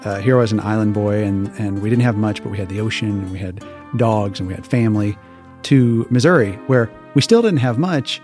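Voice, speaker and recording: A man from Hawaii, a podcast guest telling relaxed, casual stories and laughing easily. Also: on the low side, brisk, faint music behind. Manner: relaxed, laughs often, restarts words. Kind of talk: casual interview storytelling.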